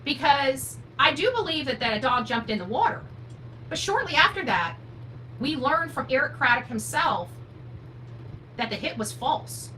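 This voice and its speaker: Blunt, bright-voiced woman livestreamer. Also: sits high, rough recording. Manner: blunt, opinionated, emphatic, asks rhetorical questions. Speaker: true crime livestream host. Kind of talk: unscripted livestream commentary, replying to viewer comments. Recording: noticeable background noise with hum.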